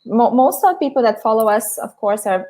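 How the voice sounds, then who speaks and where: A woman from Brazil, speaking English with a Brazilian Portuguese accent, hosts a casual live lesson. Her voice sits fairly high, and she turns to the viewers often, stopping to restart her sentences. The sound comes through a video call. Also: lively intonation, fairly quick, a little mellow. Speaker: host and Portuguese teacher. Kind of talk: casual live class chat.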